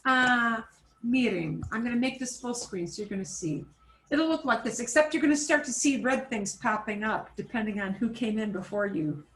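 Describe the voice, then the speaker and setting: A woman teaching a Spanish class over a video call, switching between Spanish and English and repeating phrases slowly. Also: a little high, lively intonation, a bit bright, reverberant, a little hiss or hum, poor audio. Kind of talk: online class instruction.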